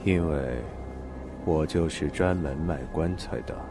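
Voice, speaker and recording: An actor playing an eerie, ominous coffin seller in a slow, sinister manner in an audio drama. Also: male voice, a bass voice, music in the background. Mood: ominous calm.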